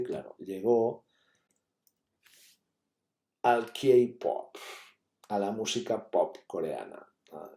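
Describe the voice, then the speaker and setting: A man's voice: on the low side, dark tone. A man giving an academic conference talk, explaining steadily with many fillers and tag questions. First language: Catalan. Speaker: university lecturer. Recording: echoey room.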